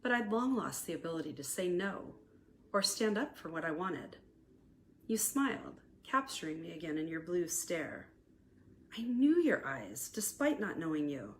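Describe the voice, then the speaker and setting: An American woman with a fairly low voice narrates a scripted romantic memoir. She reads expressively and deliberately, like an author presenting her own story. There is some background noise on the recording. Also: big pitch swings. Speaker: author reading own novella aloud.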